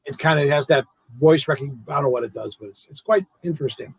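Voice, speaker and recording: Host, male, slightly high husky voice, swinging pitch, restarts a lot, dry sound. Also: quick pace, no echo. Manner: animated, stammering, laughs a lot. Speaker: show host. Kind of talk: casual interview chat.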